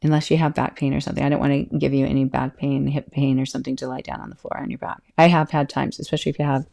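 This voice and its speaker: A middle-aged woman and yoga teacher hosting her own podcast in a chatty, self-interrupting way, laughing often, with a calm voice that can turn loud. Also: a bass voice, very fast, creaky. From California. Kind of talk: casual solo podcast talk.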